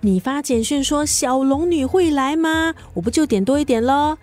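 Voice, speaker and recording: A woman's voice: high voice, expressive, normal speed, darkish tone. An actress playing a blunt, playful friend in a radio drama, theatrical and direct in her delivery. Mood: playful, breezy. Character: blunt, breezy, playful woman friend who jokes grandly.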